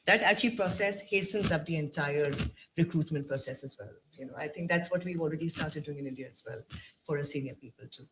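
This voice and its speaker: Man, higher pitch, eager, jumps in, lots of fillers, faint noise behind. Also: quick pace, a bit bright, low-quality recording. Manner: eager, interjecting, uses many fillers. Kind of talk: panel discussion. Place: conference stage.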